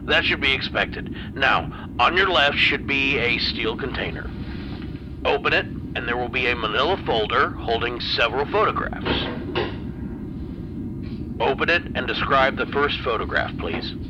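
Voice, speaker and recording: A man's voice: medium pitch, measured pace, natural voice. A man playing a detached, commanding research doctor, giving clipped procedural instructions in an audio drama. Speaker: experiment controller. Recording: muffled radio link with clear noise.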